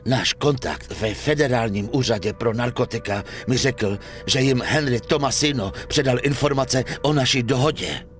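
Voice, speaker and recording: An actor playing a cold, middle-aged gang boss, formal, composed and defiant, in a dubbed crime game. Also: male voice, medium pitch, few pauses, soft background music, lightly acted. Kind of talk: dramatic dubbed video game acting.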